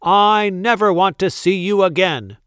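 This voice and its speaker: A man's voice: a little high, fairly even intonation, very slow, smooth, dry recording, voice pushed up. A man voicing an imperious, hot-tempered Duke from a storybook, with an emphatic, commanding manner. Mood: outraged, roaring. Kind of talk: storybook read-aloud character voice.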